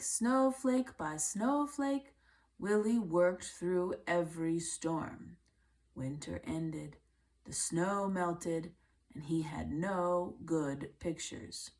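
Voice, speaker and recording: A woman reading a children's book aloud in a measured way, with deliberate phrasing and pauses. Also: on the low side, a little mellow. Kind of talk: read-aloud storybook narration.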